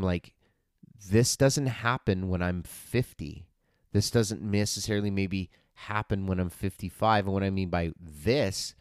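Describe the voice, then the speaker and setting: Deep-voiced man in his forties, earnest coach. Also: clean tone, no room sound, studio quality. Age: 40s. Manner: earnest, motivational, with frequent fillers and restarts. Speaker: podcast host and fitness coach. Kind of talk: casual solo podcast talk.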